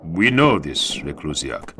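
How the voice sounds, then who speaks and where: A man voicing a respectful superhuman warrior, solemn, deliberate and ceremonious, in an audiobook. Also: very deep voice, big pitch swings, background noise, voice pushed down, heavily acted. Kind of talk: dramatized audiobook. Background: music.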